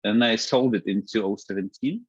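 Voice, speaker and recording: A man, a guest in an interview, talking in a halting way with many restarts and fillers. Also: fairly low pitch, slightly rough, dry recording, highs cut off. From Ukraine. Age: 30s. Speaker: guest, startup founder. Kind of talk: casual interview talk.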